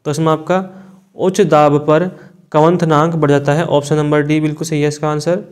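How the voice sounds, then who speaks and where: A man's voice: a little high, little pitch movement, a little fast, smooth. A man, an exam coaching teacher reading out quiz questions and giving answers in an informal, brotherly way.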